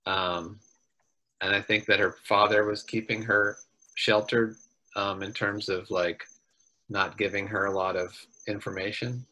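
Bright-voiced man musing over a video call. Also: lowish voice, little pitch movement, measured pace, poor audio. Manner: thoughtful, musing, with many ums. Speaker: player. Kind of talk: casual talk about a character at a tabletop game.

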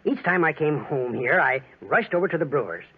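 An actor playing a squeamish, jealous young lawyer with a hesitant manner in a 1940s radio drama. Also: male voice, fairly high pitch, very animated, a little fast, slightly rough, heavily acted. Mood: confiding. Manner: hesitant, breaking off and restarting. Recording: muffled 1940s radio recording.

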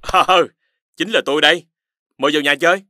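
A man's voice: on the high side, a little fast. A man with a southern Vietnamese accent voicing a clever, patient dog scientist who explains things in a children's cartoon. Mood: welcoming. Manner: explanatory, lecturing. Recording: loud children's cartoon music underneath.

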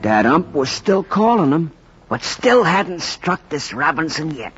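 Excitable high-pitched male baseball storyteller. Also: measured pace, breathy edge, faint music behind, full character voice. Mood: reflective. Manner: colloquial, dramatic. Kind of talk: 1940s radio drama narration. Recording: noisy, muffled 1940s radio recording.

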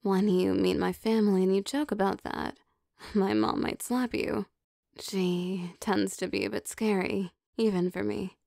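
A woman acts out a teasing, possessive vampire girlfriend in an intimate ASMR roleplay. She takes her time, coaxing softly, with a gravelly fry in her voice. The sound is close and very dry. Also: mid-range voice, fairly even intonation, measured pace, no room sound. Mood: playful, possessive. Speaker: voice actor.

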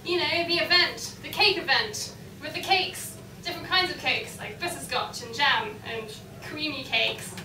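A woman's voice: high-pitched, moderate pace. A woman improvising a comic story on a small live stage. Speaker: improv comedy performer. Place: small comedy club stage. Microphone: distant camera. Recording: echoey, noisy room recorded from far off.

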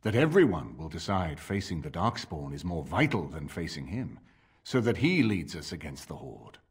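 Grave, commanding older lord, very low husky voice with lively swings, formal fantasy game delivery. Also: male voice, normal speed, exaggerated voice. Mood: grave, earnest. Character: grave, dignified older bearded nobleman lord. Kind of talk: video game dramatic acting.

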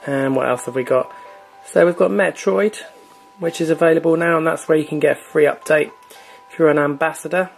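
Gravelly man with soft music. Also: a little high, brisk. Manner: relaxed, filler-heavy, chatty. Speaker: gaming channel host. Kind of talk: casual screen walkthrough.

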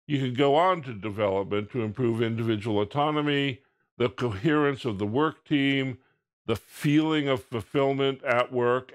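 A man in his sixties giving a prepared video keynote, explaining in a measured, deliberate way with pauses. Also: medium pitch. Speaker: keynote speaker. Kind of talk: prepared presentation to camera. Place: indoors, recorded video presentation.

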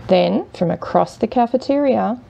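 A woman reading a children's picture book aloud as a mother would, expressively and with dramatic pauses. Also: a little high, expressive, vocal fry. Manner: measured, with dramatic pauses and hushed drawn-out words. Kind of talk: expressive children's storybook narration.

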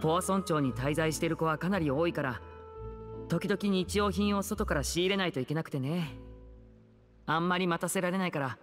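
A courteous young gentleman, acted by a man chats in an easygoing, friendly way. It is game dialogue, with music clearly playing behind his voice. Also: a little high, moderate pace, natural voice. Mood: friendly, polite. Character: courteous, smooth-talking young man, a magician and eldest brother. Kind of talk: Japanese game voice acting.

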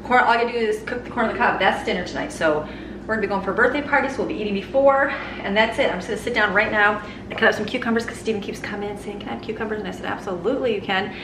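Woman, 30s, easygoing home vlogger talking while she tidies; echoey kitchen, steady room noise. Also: mid-range voice, very fast. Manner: chatty, explaining as she works. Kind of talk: casual home vlog. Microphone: handheld camera.

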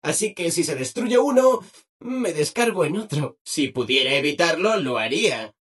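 A video game robot helper with a Castilian Spanish accent, relentlessly cheerful and keen to please. The voice sits high and swoops up and down, with a husky edge. Everything comes out chirpy and over-obliging. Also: male voice, expressive, normal speed, a bit bright. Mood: cheerfully obliging. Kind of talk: video game character voice acting.

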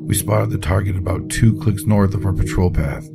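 Guy playing gruff army captain, real deep voice, rattled and sweary, audio drama w/ loud music under it. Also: moderate pace. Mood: grim, uneasy. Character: shaken, foul-mouthed military captain recounting losing his squad. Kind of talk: audio drama, interview log acting. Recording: voice over loud background music.

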